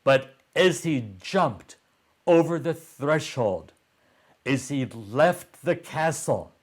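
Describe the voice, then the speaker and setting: A man reading a children's story aloud in a deliberate way, pausing between short phrases. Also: fairly high pitch, expressive.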